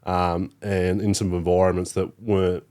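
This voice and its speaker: This is an Australian man in his thirties with a fairly low voice. He chats in a relaxed way as a podcast guest, thinking aloud with plenty of fillers. His swearing is frequent and offhand. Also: normal speed. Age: 30s. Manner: laid-back, swears freely, many fillers. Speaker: podcast guest, youth worker. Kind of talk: casual interview chat.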